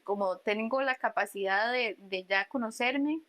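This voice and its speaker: A woman in her early thirties with a Costa Rican accent, chatting casually as a podcast host and telling stories in an animated, colloquial way. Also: on the high side, measured pace. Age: early 30s. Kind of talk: casual conversational podcast chat.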